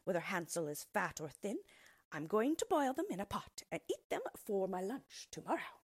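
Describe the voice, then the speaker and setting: A woman voicing a cruel, greedy old witch with a shrill, bossy manner. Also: sing-song, normal speed, no room sound, studio quality. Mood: menacing. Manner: impatient, bossy.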